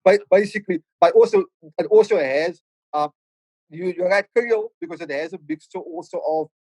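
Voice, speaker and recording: A man's voice: high voice, moderate pace, darkish tone, no echo, low-quality recording. A middle-aged man with a Cape Town South African accent, a podcast guest talking reflectively with lots of stammered restarts. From South Africa, Cape Flats in Cape Town. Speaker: podcast guest, rapper and producer. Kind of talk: casual podcast conversation.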